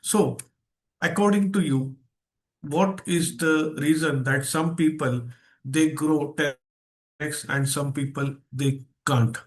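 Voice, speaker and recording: A man's voice: on the high side, slow. A man with an Indian accent speaking as a guest trainer in an online seminar, stressing key phrases and putting questions to his listeners. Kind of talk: interactive online seminar talk. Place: online video session.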